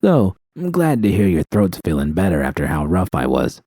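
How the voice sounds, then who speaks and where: Guy doing a menacing story villain, really low voice, smug and fake sweet, taunting. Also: wildly swooping pitch, normal speed, warm tone, breathy edge, dry recording, studio quality, exaggerated voice. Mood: gloating. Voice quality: deep. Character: menacing, gloating male villain with mocking sweetness. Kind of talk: audiobook character voice.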